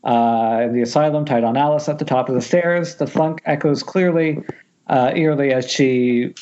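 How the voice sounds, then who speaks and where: A man's voice: medium pitch, steady tone, moderate pace, dark tone. A man reading script narration aloud at a table read, with casual asides. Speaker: stage directions reader. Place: remote group voice call. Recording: muffled internet call audio.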